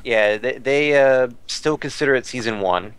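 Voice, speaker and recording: A man's voice: mid-range voice, normal speed, clean tone. A man talking casually as a podcast commentator about fan news, rambling and repeating phrases as he searches for words.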